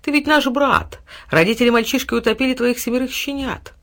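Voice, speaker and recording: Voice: medium pitch, fairly quick, fairly bright tone, pitched above the performer's own voice, close to an everyday voice. A woman voicing a scheming, spiteful male wolf in a storybook, snapping out scorn.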